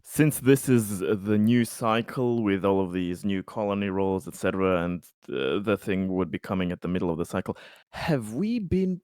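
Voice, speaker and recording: A man playing a tabletop game, chatting casually in a halting way with repeated words. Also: low-pitched, darkish tone, clean tone, dry recording, clean studio sound. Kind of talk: casual chat.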